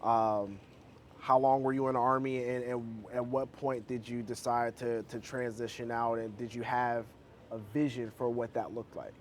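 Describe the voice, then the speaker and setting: American male interviewer, medium pitch, thoughtful and affirming, conversational, light background noise. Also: flat delivery, normal speed. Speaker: podcast host, interviewer.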